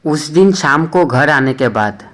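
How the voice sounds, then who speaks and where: A woman's voice: sits low, animated, unhurried, faint music behind. A woman delivering scripted narration as the narrator of a cartoon story.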